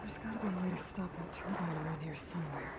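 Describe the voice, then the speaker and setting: A woman's voice: on the low side, fast, very noisy, close to an everyday voice. An actress voicing a determined, blunt young woman explorer in a video game, speaking in a terse, matter-of-fact way over game music. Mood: focused.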